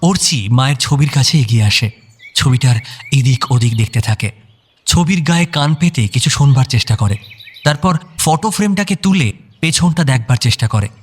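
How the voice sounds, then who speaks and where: A man's voice: medium pitch, lively intonation, moderate pace, husky, soft background music. A man narrating a scripted audio drama, describing each scene as a storyteller.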